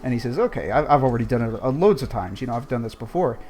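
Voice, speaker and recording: A man co-hosting a casual podcast, calmly retelling a short film's story. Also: mid-range voice, fast talker, soft background music. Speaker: podcast co-host.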